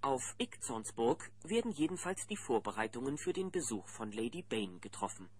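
A man narrating a German children's audio drama as a friendly storyteller, with a husky voice. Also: medium pitch, very animated, moderate pace, a little mellow, slight background noise, close to an everyday voice. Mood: engaged storytelling.

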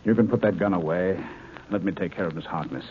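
A man's voice: sits low, fast, natural voice. An actor playing a male scientist held captive in a 1950s radio drama, firing off urgent, incredulous questions. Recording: dull old broadcast recording, faint hiss.

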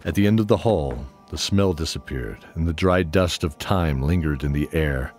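A middle-aged man hosting and narrating an audio drama in a measured, deliberate way with long pauses. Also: a bass voice, a little mellow. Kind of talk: sincere spoken introduction, then scripted fantasy narration. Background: new-age music.

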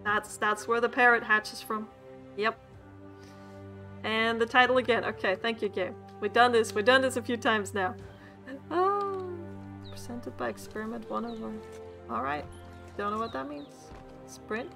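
Bright-voiced woman with a mild Swedish accent. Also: a little high, unhurried, creaky, long pauses, faint music behind. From Sweden. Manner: chatty, swearing, laughing often, rambling asides. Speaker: VTuber streamer. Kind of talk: casual gameplay commentary.

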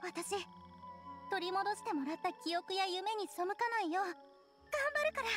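A woman voicing a gentle, fragile little girl in an anime game, soft, hesitant and sincere. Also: extremely high pitch, a little slow, music in the background. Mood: earnest, grateful.

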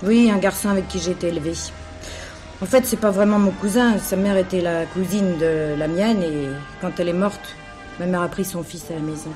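An actress playing a wily, coaxing Parisian prostitute in a French radio play, speaking colloquially. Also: female voice, on the low side, moderate pace, darkish tone, soft background music, lightly acted. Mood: pleading, coaxing.

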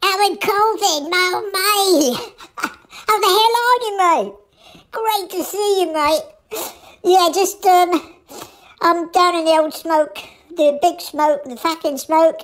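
A woman's voice: very high voice, slow. A woman leaving a matey, rambling comic message full of swearing. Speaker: comedic caller.

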